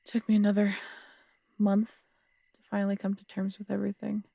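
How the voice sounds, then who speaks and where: Woman acting as husky, very gravelly tough city detective. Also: mid-range voice, monotone, warm tone, no room sound. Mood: reflective. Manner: wry, unhurried storytelling with casual swearing. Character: tough, strong-headed woman city detective, wry and fond. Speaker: voice actor in an audio roleplay. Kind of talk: intimate conversational audio roleplay storytelling.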